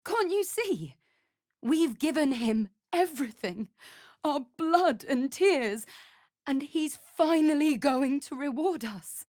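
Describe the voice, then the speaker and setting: A woman's voice: high-pitched, animated, slow pace, a little mellow, no echo, clean studio sound. A woman playing an anxious, devoted vampire spawn in a fantasy video game, speaking formally and earnestly. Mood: desperate pleading. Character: anxious, devoted female vampire spawn serving her master.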